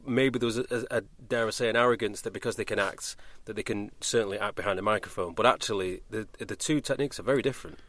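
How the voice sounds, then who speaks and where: Male podcast host, lowish voice, rapid and informal delivery, rambling casual chat, dry recording. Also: a bit bright, no room sound.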